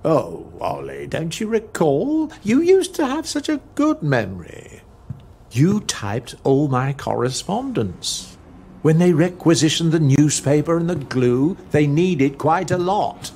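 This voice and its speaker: An actor playing a cynical, condescending army officer in a dramatic video game. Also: male voice, fairly high pitch, very animated, slow, darkish tone, slightly rough, heavily acted. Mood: sardonic. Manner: sardonic, condescending. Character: cynical, commanding male army officer, dismissive and sardonic.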